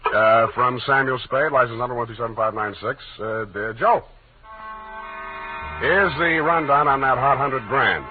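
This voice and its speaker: An actor playing a wry, hard-boiled private detective with an offhand, halting delivery in 1940s radio style. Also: male voice, medium pitch, soft background music, natural voice. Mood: dictating, wry. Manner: wry, offhand, punctuated with uhs. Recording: narrow-band, noisy 1940s radio recording.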